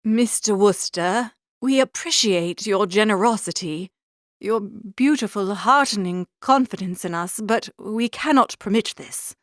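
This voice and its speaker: A woman's voice: mid-range voice, a little slow, a bit bright, no room sound, clean studio sound, natural voice. Woman playing a mournful, formal young curate. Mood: solemn grateful. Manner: formal, wordy, pleading speech. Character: earnest, mournful young curate, a man, confessing gambling ruin. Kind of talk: audiobook character reading.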